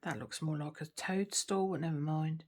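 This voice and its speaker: A woman's voice: deep voice, steady tone, normal speed, a little mellow. A woman chatting casually to camera while she works on a craft kit, murmuring and trailing off, a little sniffly. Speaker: craft vlogger.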